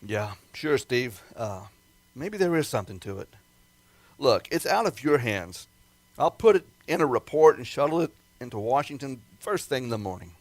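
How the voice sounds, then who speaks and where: An amateur actor playing a placating, hesitant military base official who gets excitable under pressure in a radio play. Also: male voice, mid-range voice, moderate pace, no echo.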